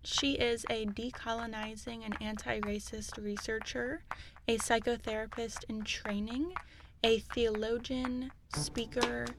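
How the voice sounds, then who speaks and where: A woman's voice: a little high, level tone, a little slow, background noise. A woman hosting a podcast, reading an earnest, upbeat introduction. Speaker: podcast host. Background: music.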